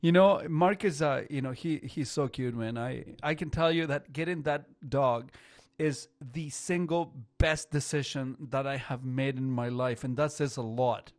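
A man in his fifties hosting a radio talk show, English not his first language, talking in an animated way and often repeating words as he starts a sentence. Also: medium pitch, a little slow, dry recording, studio quality. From not Canada.

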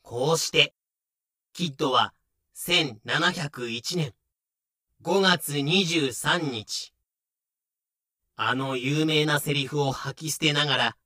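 A man's voice: on the high side, takes it slowly, long pauses, bright, forceful tone, dry recording, clean studio sound, exaggerated voice. A man narrating a pirate history audio drama in a solemn, scripted, dramatic style.

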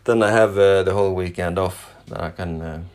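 A man from Norway with a Norwegian accent, speaking English as a second language while hosting a casual solo podcast. He pauses often, with many fillers and restarts. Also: sits low, fairly even intonation. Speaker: podcast host.

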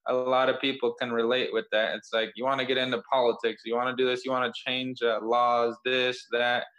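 Young man, podcast host, earnest casual talk, hesitant fillers, medium pitch. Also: little pitch movement, fairly quick, fairly bright tone, poor audio.

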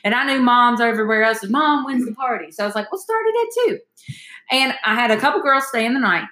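A woman's voice: fairly high pitch, expressive, fast, pushed and bright, roomy sound. A woman, hosting a podcast and telling stories chattily, calling her listeners y'all. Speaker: podcast host. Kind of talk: casual storytelling chat.

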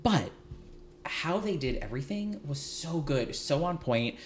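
A man hosting a podcast, telling stories in an animated, gossipy way with a slight lisp now and then. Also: on the high side, measured pace, slight background noise. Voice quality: light lisp at times. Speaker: podcast host. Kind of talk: casual chat.

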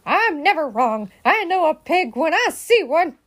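A woman's voice: extremely high pitch, big pitch swings, unhurried, a bit bright, pitched above the performer's own voice, heavily acted. A woman voicing a bossy, opinionated older sister in a children's storybook, stern and indignant, scolding emphatically. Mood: angry, scolding.

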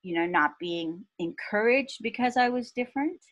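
A woman in her fifties, from Pakistan, talking thoughtfully as a podcast guest with soft laughs. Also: on the high side, animated, normal speed, lo-fi sound. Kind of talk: reflective interview talk.

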